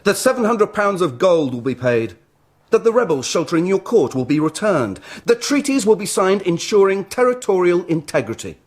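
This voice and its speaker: Proud, husky-voiced barbarian envoy. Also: male voice, high voice, sing-song, moderate pace. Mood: stern, demanding. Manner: blunt, demanding. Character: proud, indignant male envoy of Attila the Hun. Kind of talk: radio drama acting.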